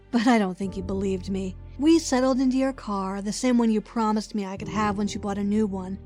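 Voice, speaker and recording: A woman's voice: medium pitch, darkish tone, natural voice. A woman voicing a bitter, grieving daughter in a wistful audio drama monologue.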